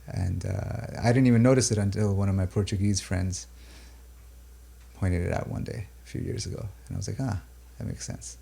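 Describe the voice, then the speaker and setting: A man from Pakistan and the UAE, native Urdu speaker, talking thoughtfully about his music in a halting, filler-filled way. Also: low-pitched, steady tone, creaky, mellow, slightly rough. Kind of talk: reflective interview talk.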